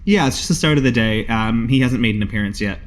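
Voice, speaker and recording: A man's voice: mid-range voice, fast. A man narrating a tabletop game as game master and podcast host.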